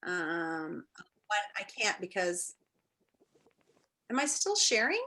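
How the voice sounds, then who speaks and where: A woman's voice: on the low side, sing-song, slow, sharp tone. A woman teacher talking and reading aloud to an online class, enthusiastic and encouraging. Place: indoors, on a video call.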